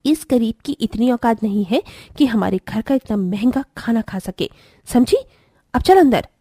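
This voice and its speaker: A woman voicing a rich, scornful young sister-in-law in a cartoon drama. She is sneering and curt, with a high voice and a breathy edge. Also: lively intonation, normal speed, dark tone, dry recording, studio quality. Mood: contemptuous.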